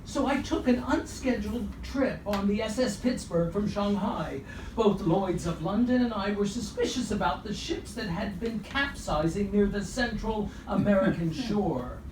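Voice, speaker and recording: A noisy, distant recording of a woman acting as an indignant heir in an audio drama. The storytelling is theatrical and bitter, at a middling pitch. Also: echoey room, natural voice. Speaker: actor. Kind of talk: rehearsed scripted radio play monologue.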